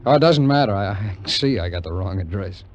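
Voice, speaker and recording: A man's voice: deep voice, animated, a little fast. An actor playing a wry, wary American war veteran telling his tale in a 1950s radio drama, in a hesitant, colloquial way. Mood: awkward, hesitant. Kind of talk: 1950s radio drama acting and first-person narration. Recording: muffled vintage 1950s radio recording, music behind.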